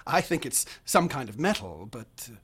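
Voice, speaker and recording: An actor playing an obsessed young student haunted by nightmares, in an intense, florid radio drama style. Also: male voice, mid-range voice, very animated, moderate pace, fairly bright tone, husky. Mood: anxious, guarded.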